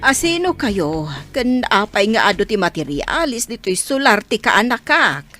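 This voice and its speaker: Lively, suspicious aunt. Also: female voice, medium pitch, unhurried, faint music behind. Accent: Ilocano. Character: suspicious female relative guarding her niece's land. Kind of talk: Ilocano radio drama acting.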